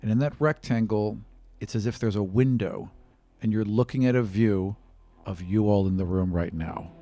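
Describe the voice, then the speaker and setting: A man's voice: lowish voice, normal speed, warm tone. A man narrating a tabletop roleplaying game as game master, improvising as he describes scenes to the players. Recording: music behind the voice.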